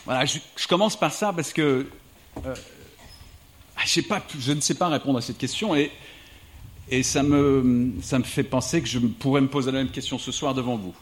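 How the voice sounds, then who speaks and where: A man's voice: mid-range voice, normal speed. A middle-aged man from the United States, a diplomat and writer, speaking thoughtfully to a live audience in an evening talk. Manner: reflective, thinking aloud.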